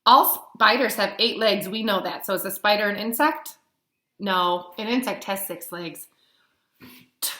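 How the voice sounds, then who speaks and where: A woman in her thirties reading a picture book aloud as a teacher, animated and explaining things simply to young children. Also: mid-range voice. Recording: roomy echo.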